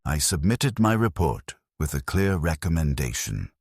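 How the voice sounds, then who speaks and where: A man narrating a first-person story in a grave, measured, detached way. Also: a bass voice, sing-song, darkish tone, breathy edge, dry recording, clean studio sound.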